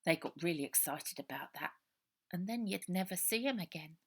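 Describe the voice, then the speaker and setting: A woman's voice: fairly low pitch, expressive, moderate pace, breathy edge. Woman acting a plaintive working-class English orphan boy. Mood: confiding. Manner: colloquial, earnest, ungrammatical speech. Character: frightened ten-year-old orphan boy, plaintive, streetwise.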